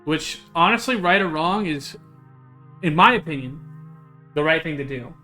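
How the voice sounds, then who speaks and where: A young man streaming a visual novel, chatting casually with his viewers. He second-guesses himself out loud, restarts his sentences and swears casually. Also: on the high side, expressive, normal speed, soft background music. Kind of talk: casual live-stream commentary while playing a visual novel.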